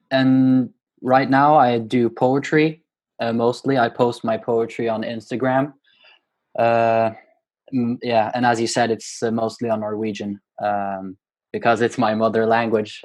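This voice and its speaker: A man's voice: medium pitch, level tone, unhurried, darkish tone. A young man from Norway in his twenties, answering interview questions in a casual, hesitant way with many fillers and restarts. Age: 20s. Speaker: guest poet.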